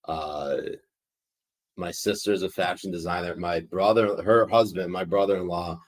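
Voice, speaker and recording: Deep-voiced joking man on a podcast. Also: steady tone, measured pace. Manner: rambling, profane, joking. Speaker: podcast co-host. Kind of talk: casual news chat podcast.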